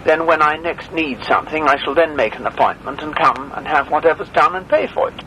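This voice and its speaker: High-voiced guy on the phone, stiff and old-fashioned, very proper wording. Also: a little fast, crowd noise, rough recording. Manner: formal, old-fashioned and stern, precise wording. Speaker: dental patient on a phone call. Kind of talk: phone conversation.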